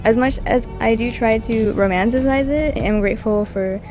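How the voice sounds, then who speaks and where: A woman's voice: on the high side, normal speed, darkish tone. A young woman from Puerto Rico telling her personal story in a casual, chatty way, with many "like" and "kinda" fillers. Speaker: vlogger. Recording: music playing in the background.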